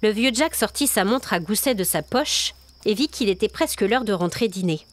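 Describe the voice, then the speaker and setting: A woman's voice: mid-range voice, lively intonation, moderate pace. A woman storyteller reading scripted narration for a dramatic audio tale.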